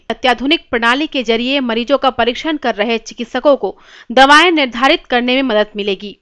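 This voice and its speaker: A woman narrating a scripted news report in a formal, measured reading style. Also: high-pitched, sharp tone, smooth, no echo, studio quality.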